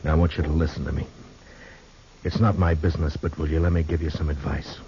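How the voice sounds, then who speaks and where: Casting: American frontier lawman, extremely deep voice, grave, firm but kind, muffled vintage radio sound. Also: flat delivery, dark tone, slight background noise. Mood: gently urging. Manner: sober, halting, plain-spoken. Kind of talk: 1950s radio western acting and narration. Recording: muffled 1950s radio recording.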